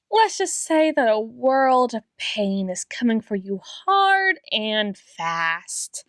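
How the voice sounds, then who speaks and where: A high, close and dry female voice, acted by a woman as a yandere doctor in an intimate audio roleplay. She speaks slowly and tenderly, slipping into whispers and repeating herself, with devotion that carries a sweet menace. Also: no echo. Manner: breathy whispers, lingering pauses, repeats phrases tenderly. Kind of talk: ASMR roleplay, dramatic monologue addressed to listener.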